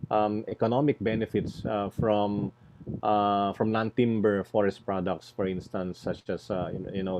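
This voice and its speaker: Deep soft-toned guy on a webinar panel, keeps restarting words, kinda muffled recording. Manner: halting, deliberate, frequent repeated words. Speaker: environmental NGO executive, panel presenter. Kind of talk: spontaneous panel presentation. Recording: muffled, noisy online webinar audio.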